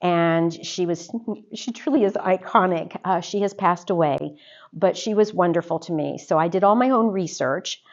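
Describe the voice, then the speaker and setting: A woman's voice: on the low side, gravelly, dull audio. A woman guest in an interview, telling her own history in a chatty way with fillers. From United States. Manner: warm, chatty storytelling with fillers. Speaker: interview guest, organization officer. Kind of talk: casual interview answers.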